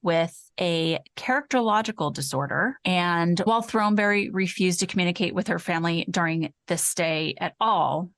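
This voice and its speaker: A woman co-hosts a true-crime podcast in a fairly low voice with noticeable vocal fry. She shifts between measured reading and empathetic, conversational comment. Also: fairly bright tone, dry recording, studio quality. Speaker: podcast co-host.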